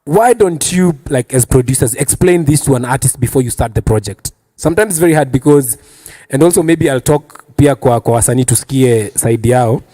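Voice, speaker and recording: A man's voice: medium pitch, a little fast, mellow, low-quality recording. A man with a Kenyan accent hosting a casual panel chat, teasing his guests with questions and switching between languages. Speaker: talk show host.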